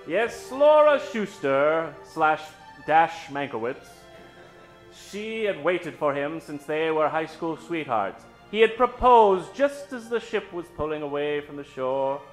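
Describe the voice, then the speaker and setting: A man hosting a live old-time radio show, introducing characters from the stage in a dramatic, drawn-out storytelling style. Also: a little high, sing-song, faint music behind, poor audio. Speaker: host and announcer. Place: stage before a live studio audience. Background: musical accompaniment.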